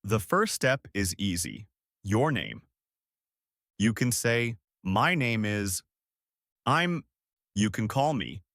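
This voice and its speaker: A man co-hosting a podcast English lesson, explaining example phrases in a clear, upbeat way. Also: fairly low pitch, big pitch swings, slow pace, pauses often, fairly bright tone, no room sound, studio quality. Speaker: podcast co-host and English teacher. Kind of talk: scripted English lesson dialogue.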